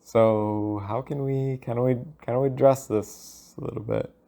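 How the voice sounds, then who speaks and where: A man records a casual solo monologue at an unhurried pace. His pitch sits in a middle range, and he talks loosely and haltingly, as if working out each thought while he speaks. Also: fairly even intonation, creaky, warm tone. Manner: halting, thinking aloud, loose and informal with profanity; acts out imaginary dialogue. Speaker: solo audio log host. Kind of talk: rambling casual audio monologue.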